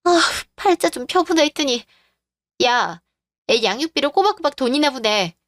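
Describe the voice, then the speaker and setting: A woman voicing a scheming, mocking mistress in a radio drama, with a taunting manner and a pitch raised well above her usual voice. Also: lively intonation, normal speed, fairly bright tone, no echo. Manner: taunting, sneering. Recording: dry studio voice-over with faint music.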